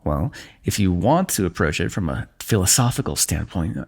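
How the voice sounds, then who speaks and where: A low, gravelly voice moving through lively, expressive turns: a young ruler, as a man plays him, tending a wounded sword-bearer. He speaks close and soft, with gentle chiding and dry teasing. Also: normal speed. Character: male ruler of a kingdom, tending a wounded knight. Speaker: ASMR roleplay performer. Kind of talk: scripted fantasy ASMR roleplay.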